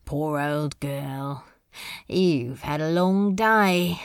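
A woman voicing a laid-back, teasing male demon with a soft sentimental side, drawling casually in a fan audio drama. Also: drawn-out words, no echo, studio quality, full character voice. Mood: amused, teasing. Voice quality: low, gravelly, husky.

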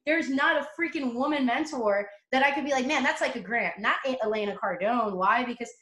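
A woman with a fairly high voice talks brashly and confidently, swearing freely as she tells her story in a casual interview. Her voice rings in an echoey room. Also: a little fast, a bit bright. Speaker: interview guest, motivational coach.

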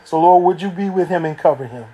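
A man with a notably high voice leads a spoken prayer. His delivery is earnest and devout as he prays for a guest preacher. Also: fast, warm tone, dull audio. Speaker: pastor.

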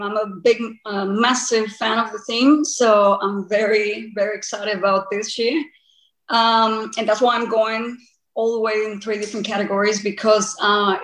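A woman in her early forties speaks with a Costa Rican accent, answering interview questions in a conversational way. Her voice sits a little high, and the room adds a clear echo around it. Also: a bit bright. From Costa Rica. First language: Spanish. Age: early 40s. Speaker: podcast guest, body art painter. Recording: roomy echo.

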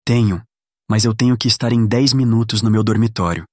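A man's voice: fairly low pitch, a little fast, mellow, no echo, studio quality, close to an everyday voice. A man with a Brazilian accent playing a cocky, popular teenage athlete in an audio drama, cool and offhand. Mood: cocky, casual.

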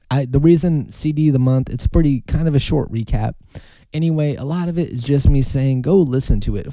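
A young man hosts his own podcast in a loose, rambling, unhurried way, dropping curse words as he goes. His voice is very dark and mellow. The sound is dry and close, with no room around it. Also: mid-range voice, no echo. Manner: loose, rambling, swears casually. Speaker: podcast host. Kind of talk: casual solo podcast talk.

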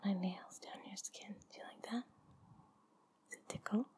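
A woman talking in an intimate, playful ASMR style close to the mic, soft and breathy, often slipping toward a whisper. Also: mid-range voice, steady tone, normal speed. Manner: giggly, playful, chatty. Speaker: audio performer addressing the listener. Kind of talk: intimate ASMR, rambling and affectionate.

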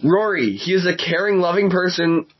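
A man's voice: high-pitched, moderate pace, crowd noise. A man co-hosting a casual podcast with friends, joking and laughing often. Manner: giggly, jokey, laughs often. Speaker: podcast co-host. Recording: muffled lo-fi with clear background noise.

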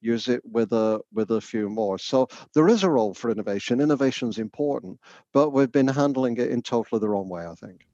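A man's voice: mid-range voice, fairly quick, mellow, no room sound, dull audio. A man from the north of England with a Northern English accent, answering interview questions thoughtfully with frequent fillers and restarts. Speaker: education researcher.

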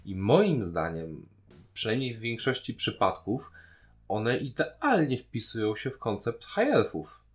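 A man's voice: lowish voice, big pitch swings, unhurried. A man, co-hosting a podcast in a casual, conversational discussion of opinions. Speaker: podcast co-host.

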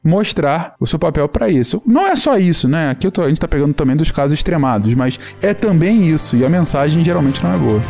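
A man in his thirties with a Carioca Brazilian accent, a podcast guest talking in a casual, animated and rambling way. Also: fairly high pitch, quick pace, gravelly, few pauses, soft background music. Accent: Carioca Brazilian Portuguese. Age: 30s. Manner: animated, rambling storyteller with many restarts and fillers. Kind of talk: casual chat.

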